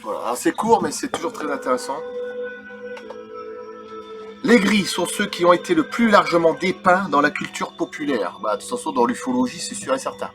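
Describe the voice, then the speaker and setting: A man, reading from a book aloud and adding casual, rambling asides as the host of a video channel. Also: mid-range voice. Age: 30s. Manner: informal, rambling, digressive asides. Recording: background music under the voice. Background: eerie film music.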